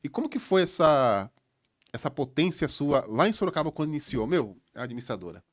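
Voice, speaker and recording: A man's voice: on the high side, a little fast. A Brazilian man from Salvador with a São Paulo accent, hosting a casual interview and echoing his guest's words. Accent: Brazilian Portuguese, São Paulo. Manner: animated, echoes the guest's words, "legal". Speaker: podcast host.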